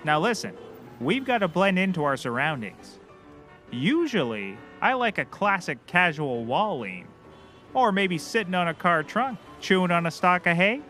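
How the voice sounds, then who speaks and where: A hapless, self-important male private eye played by a comic actor speaks in a high, bright voice with wildly swinging pitch. He is eager and theatrical, as in a comedy series. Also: very animated, normal speed, soft background music, heavily acted. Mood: self-important instructing. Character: hapless, overconfident, goofily enthusiastic male private detective. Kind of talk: scripted comedy acting.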